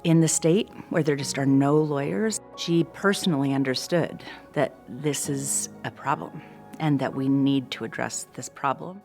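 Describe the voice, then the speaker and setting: Woman with a really low voice, excited and admiring, music under. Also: moderate pace. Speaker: colleague giving a tribute. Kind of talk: tribute interview.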